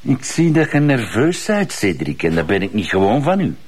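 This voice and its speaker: A low, husky Flemish man full of veiled threat. His delivery swings widely in pitch as he insinuates and accuses, sardonic and menacing, in TV crime drama style. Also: very animated, moderate pace, a little mellow. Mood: veiled menace. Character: menacing, sardonic, suspicious male acquaintance nursing old grudges.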